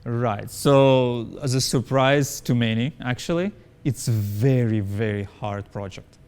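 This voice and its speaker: A man's voice: medium pitch, takes it slowly, darkish tone, clear, resonant tone. A man who speaks English as a second language, answering interview questions as a company founder in an emphatic way, repeating his points and checking with "right?". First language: not English.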